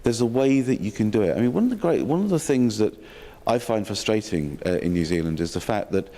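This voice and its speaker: A man with a slightly croaky voice giving a welcome speech at a health sector session, with dry self-mocking humour and many ums and restarts. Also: on the low side, animated, brisk, warm tone. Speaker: opening speaker at a health sector learning session. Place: conference hall. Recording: long hall reverb.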